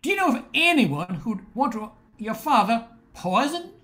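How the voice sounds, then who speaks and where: A woman's voice: a little high, big pitch swings, moderate pace, a bit bright. An older woman playing a blunt, suspicious police officer in an amateur radio play, matter-of-fact in manner. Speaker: amateur radio drama performer. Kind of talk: old-time radio play acting.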